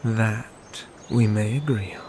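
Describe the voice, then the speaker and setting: A man playing a haughty, sadistic medieval knight in a dark fantasy ASMR roleplay, with formal, sneering, hushed delivery. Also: on the low side, steady tone, slight background noise. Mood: scornful. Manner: slow, sneering, formal speech, hushed at phrase ends.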